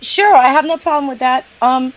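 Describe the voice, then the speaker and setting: A woman's voice: sits high, moderate pace, highs cut off. A woman with a New York accent, a guest trader chatting casually on a call, recorded through her laptop's built-in mic.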